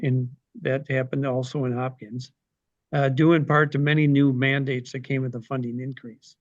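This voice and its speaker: Older male moderator on a video call, mid-pitched, reading questions haltingly, dull audio. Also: level tone, no echo. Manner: reads questions aloud with frequent ums and uhs. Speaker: forum moderator.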